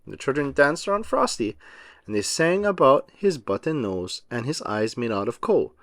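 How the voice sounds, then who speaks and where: A man narrating a children's storybook aloud as an expressive, emphatic storyteller. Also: medium pitch, normal speed, dry recording, studio quality.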